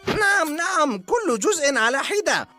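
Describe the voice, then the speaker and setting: Cartoon inventor: high voice, nervous, forgetful, eccentric. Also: female voice, big pitch swings, a little slow, pushed and bright, soft background music, heavily acted. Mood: proud, nervous. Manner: hesitant, muttering, forgetful. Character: nervous, absent-minded eccentric male inventor. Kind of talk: dubbed cartoon acting.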